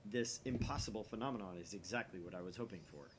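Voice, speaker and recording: A man voicing a grandiose young mad scientist in a visual novel, declaiming theatrically with pompous, dramatic pauses. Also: lowish voice, noisy background, pitched below the performer's own voice, lightly acted. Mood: triumphant.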